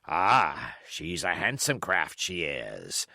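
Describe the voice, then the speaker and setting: A man's voice: low voice, very animated, slow pace, creaky, bright, forceful tone, no echo, studio quality, pitched below the performer's own voice, exaggerated voice. A man voicing a wily, folksy old ship's cook with drawling, rustic sailor talk in an audiobook. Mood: fond. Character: old sea cook, folksy, wily, fond of his parrot. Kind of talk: audiobook character voice.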